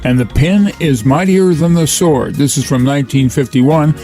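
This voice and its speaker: A man's voice: on the high side, moderate pace, dark tone, faint music behind. A male host welcoming listeners and introducing the episodes in a friendly, plain way. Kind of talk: podcast introduction.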